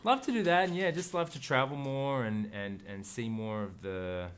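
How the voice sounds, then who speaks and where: A man's voice: medium pitch, moderate pace, a little hiss or hum. An Australian man, an actor, talking casually as an interview guest, thoughtful and rambling, with frequent restarts.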